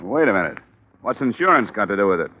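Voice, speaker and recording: An actor playing a terse, matter-of-fact hard-boiled insurance investigator in a vintage radio detective drama. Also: male voice, fairly low pitch, very animated, fast talker, a little hiss or hum. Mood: wary, curt. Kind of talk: 1940s-50s radio detective acting and narration. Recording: muffled tinny old radio recording.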